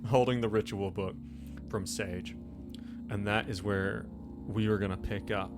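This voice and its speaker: A man's voice: fairly low pitch, fairly even intonation, unhurried. A man acting as game master, casually narrating scenes and prompting his players with questions. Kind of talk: casual tabletop roleplay narration. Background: instrumental music bed.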